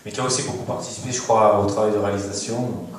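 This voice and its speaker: Festival host, halting delivery, recorded from afar in a reverberant cinema hall. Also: male voice, lowish voice, fairly even intonation, a crowd in the background. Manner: hesitant, many fillers. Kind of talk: introducing a screening evening. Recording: echoey hall, distant mic.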